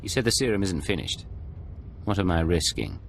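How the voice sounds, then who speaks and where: An actor playing a guarded secret agent in a video game, speaking in a terse, controlled way. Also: male voice, low-pitched, very animated, normal speed, creaky, a little hiss or hum, heavily acted. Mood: grave, resolute.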